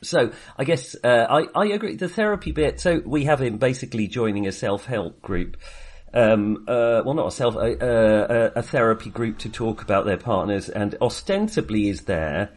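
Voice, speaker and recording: A man co-hosting a casual film discussion, talking in a hesitant, halting way and circling back on his phrases. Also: medium pitch, expressive. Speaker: podcast co-host reviewing films.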